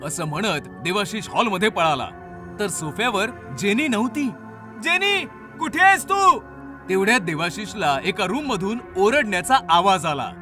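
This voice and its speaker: A man's voice: high-pitched, lively intonation, normal speed, sharp tone, soft background music. A man narrating a scripted horror story in a dramatic storytelling manner.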